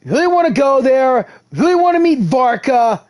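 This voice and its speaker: A man's voice: extremely high pitch, moderate pace. A man streaming a game live, chatting casually, reading dialogue aloud and reacting with enthusiasm. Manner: animated, excitable, reads dialogue aloud. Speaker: gaming streamer. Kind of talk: casual live commentary while playing.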